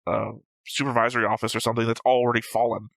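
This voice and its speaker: A man's voice: mid-range voice, level tone, quick pace, vocal fry, a bit bright, no room sound. A man co-hosting a reaction show, chatting casually in a blunt, argumentative, sweary way. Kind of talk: casual discussion of an anime episode.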